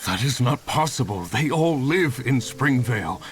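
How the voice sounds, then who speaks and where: Man voicing a bewildered, animated, insistent fellow. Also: mid-range voice, moderate pace, a little hiss or hum, natural voice. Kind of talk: anime game voice acting.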